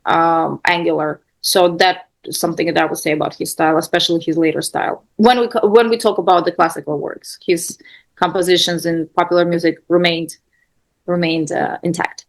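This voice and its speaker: A woman musician answering questions in a spontaneous, thoughtful way, halting and repeating phrases for emphasis as she explains. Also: on the low side, steady tone. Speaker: musician and guest lecturer on a composer.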